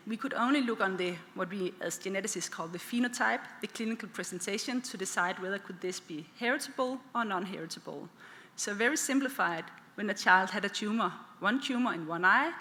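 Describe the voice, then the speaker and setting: Danish-accented woman, geneticist at a podium, bright voice, long hall reverb. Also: medium pitch. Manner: plain, simplifying explanations for lay listeners. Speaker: clinical geneticist panelist. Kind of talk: conference presentation. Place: large conference hall. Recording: reverberant hall with faint ambience.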